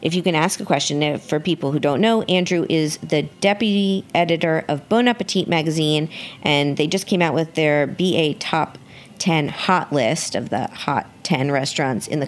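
A woman's voice: sits low, expressive, normal speed. A woman hosting a podcast in a conversational interview style. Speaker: podcast host.

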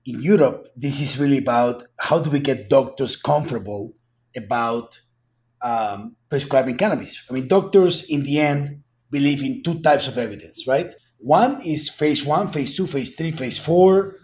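A man's voice: a little high, darkish tone. Emphatic Colombian-accented man, muffled audio. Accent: Colombian Spanish. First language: Spanish. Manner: earnest and emphatic, repeats words and laughs lightly. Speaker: guest, cannabis company founder. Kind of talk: podcast interview answers.

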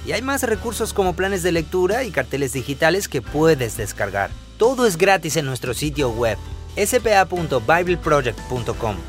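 A man's voice: on the high side, big pitch swings, normal speed, faint music behind. A man dubbing a podcast co-host who asks follow-up questions and also reads the closing thanks, recorded in a studio. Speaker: podcast co-host and outro announcer, Spanish dub. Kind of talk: dubbed conversational podcast.

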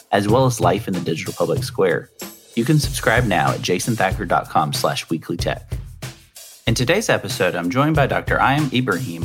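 A man hosting a podcast, reading a polished intro and then interviewing a guest. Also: fairly low pitch. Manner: polished, steady delivery. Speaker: podcast host.

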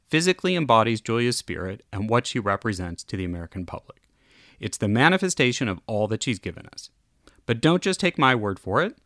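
A man's voice: medium pitch, a bit bright, no echo. Male podcast host for scripted introductions and interviews, very dry recording.

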